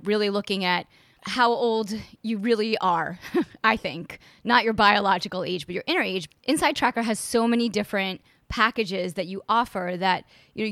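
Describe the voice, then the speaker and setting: A woman's voice: medium pitch, fairly bright tone, no room sound. A woman hosting a podcast interview, enthusiastic and conversational. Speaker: podcast host.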